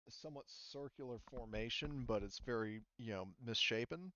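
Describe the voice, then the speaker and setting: A man running a tabletop game as game master in casual speech, often halting and thinking aloud mid-sentence. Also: medium pitch, bright, forceful tone, lo-fi sound, slight background noise.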